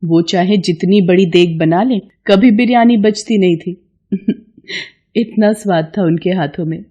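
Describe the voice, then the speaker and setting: A woman voicing a humble, poor widowed mother in a cartoon story, speaking gently, politely and plaintively. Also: fairly low pitch, unhurried, muffled recording. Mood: wistful. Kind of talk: cartoon moral story acting.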